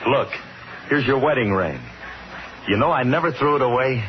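An American man playing a weary, gruffly tender drifting bandleader in a laconic 1950s radio drama manner. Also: mid-range voice, lively intonation, normal speed, over loud music, natural voice. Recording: muffled, very noisy old radio broadcast.

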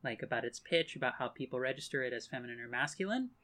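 A woman's voice: very deep voice, animated, fast, fairly bright tone. A woman speaking frankly in a casual panel discussion, talking in loose, chatty runs filled with "like.".

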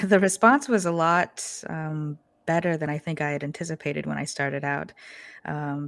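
A young woman from Portland talks through her answers in a loose, chatty way, full of fillers and little laughs. Her voice sits low, and the sound is dry and close, with no room around it. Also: dry recording. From Portland, Oregon, US. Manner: chatty, many ums and likes, laughs easily. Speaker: guest, social media creator. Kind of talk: casual interview answers.

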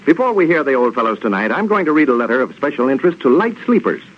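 A man's voice: mid-range voice, very animated, fast talker. A man with an American accent announcing a 1930s radio show, reading sponsor copy and scripted narration. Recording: muffled 1930s radio with faint noise.

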